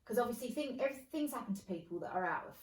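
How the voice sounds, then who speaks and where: A woman co-hosting a casual chat, speaking in a steady, measured way and agreeing often. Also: fairly high pitch, distant mic. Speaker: podcast co-host. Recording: echoey room, off-mic.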